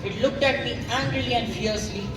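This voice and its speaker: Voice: medium pitch, a little fast, noisy background, close to an everyday voice. A teenage student with an Indian accent acting a frightened, awestruck Roman in a school stage play. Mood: awed, fearful. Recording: distant echoey stage, loud music.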